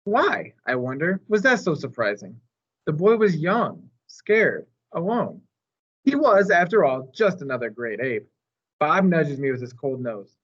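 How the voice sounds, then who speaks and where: A man reading a children's story aloud as narrator, in an expressive storytime style. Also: on the high side, measured pace, lo-fi sound. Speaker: storytime reader.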